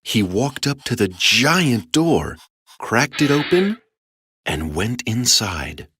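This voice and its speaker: A woman with a low voice reads a children's story. Her delivery is slow and full of lively rises and falls, and the sound is close and dry. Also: husky, no echo. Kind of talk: expressive children's storybook narration.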